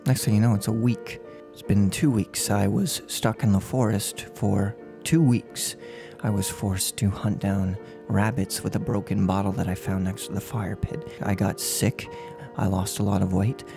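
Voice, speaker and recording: A man in his twenties playing a soft-spoken, unhinged serial killer in a menacing, mock-polite ASMR role play, close to the microphone. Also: fairly low pitch, steady tone, moderate pace, vocal fry, music in the background. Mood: brooding, measured. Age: 20s. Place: room at home. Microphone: close desk microphone on boom arm.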